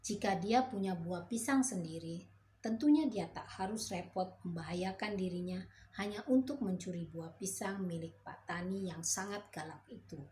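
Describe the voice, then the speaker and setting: A woman storyteller reading a fairy tale to children in a gentle, motherly way. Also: on the low side, normal speed, a little hiss or hum.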